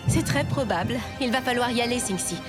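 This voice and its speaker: Woman as a calm, knowing animal mother. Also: fairly high pitch, fairly quick, natural voice. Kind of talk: animated cartoon dubbing. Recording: music under the voice.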